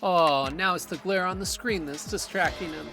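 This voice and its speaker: An actor playing a laid-back, slightly wary young friend with a casual, dry manner in an audio drama. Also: male voice, high voice, normal speed, bright, forceful tone, soft background music.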